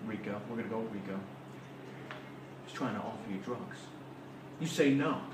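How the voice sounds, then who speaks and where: A man's voice: fairly low pitch, normal speed. A man in his thirties rambling to camera in a mock interview, with earnest, self-important, hyped tough talk. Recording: noisy, echoey, distant camera microphone.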